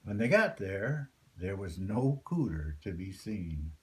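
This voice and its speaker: A man's voice: deep voice, sing-song, takes it slowly. An older man reading a folktale aloud as a storyteller.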